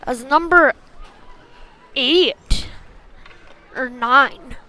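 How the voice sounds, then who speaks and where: A woman calling a youth hockey game live in short, clipped phrases, getting excitable on big plays. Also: high voice, very slow, some chatter behind, rough recording. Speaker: play-by-play hockey commentator. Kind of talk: live sports commentary.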